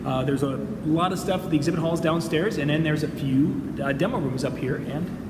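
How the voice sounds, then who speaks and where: Male video co-host, somewhat high-pitched, jokey and casual, recorded in an echoing demo room. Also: moderate pace. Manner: playful, teasing. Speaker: co-host of a DJ gear show. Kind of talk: casual joking banter to camera. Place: demo room at a trade show. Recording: echoey hall, clearly noisy.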